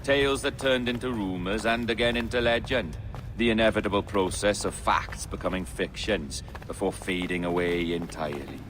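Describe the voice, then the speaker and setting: An actor playing an eerie, self-possessed pirate sage in a video game, speaking in an eloquent, cryptic, theatrical way. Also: male voice, on the low side, moderate pace, close to an everyday voice. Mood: lofty, amused. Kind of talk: dramatic video game acting. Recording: noisy outdoor ambience behind the voice.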